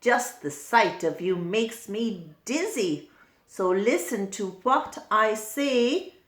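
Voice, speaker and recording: A woman's voice: mid-range voice, animated, slow pace, echoey room. A woman voicing a fussy female storybook bird who chants insistent rhyming refusals. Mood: grumpy dismissive.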